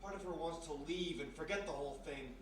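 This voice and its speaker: A man acting as the measured, dramatic narrator of a live old-time radio mystery. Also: on the high side, lightly acted. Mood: melancholy, hushed. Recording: very echoey live hall, distant mic, faint noise.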